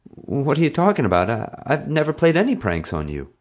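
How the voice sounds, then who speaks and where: Casting: man as clever, slightly nervous schoolboy hero of a storybook, explanatory, raised a little from a deep voice. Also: animated, a little fast, darkish tone. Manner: explanatory, evasive under pressure. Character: smart, anxious schoolboy, son of zoo scientist.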